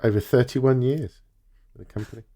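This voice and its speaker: A man's voice: medium pitch, fairly quick, dark tone. A man co-hosting a podcast, presenting news items in a casual, chatty style. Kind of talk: casual news read-out and chat.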